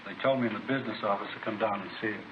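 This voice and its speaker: A man playing an ingratiating American hotel clerk, low-voiced and quick. He hedges and wheedles like a money-minded informant in old radio drama, heard on a thin, tinny recording. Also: noisy background, lightly acted. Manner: hedging, wheedling, talkative. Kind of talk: 1950s radio drama acting.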